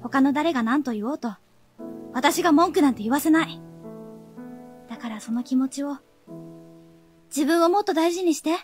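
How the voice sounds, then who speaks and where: Gentle, high-voiced young woman. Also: expressive, moderate pace, pauses often, soft background music. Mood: tender insistence. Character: gentle, firm young woman, protective confidante. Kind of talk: Japanese radio drama acting.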